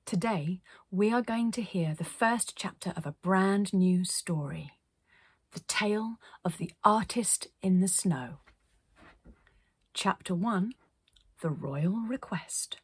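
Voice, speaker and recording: A woman reading a children's story aloud as storyteller, expressive, with dramatic pauses. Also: mid-range voice, breathy edge, no room sound. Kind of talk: scripted children's story narration.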